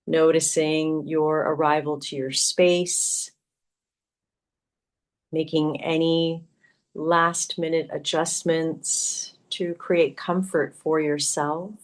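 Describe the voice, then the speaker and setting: A woman yoga teacher leading a guided meditation in a soothing, gently instructive way, leaving long pauses between phrases. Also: low voice, steady tone.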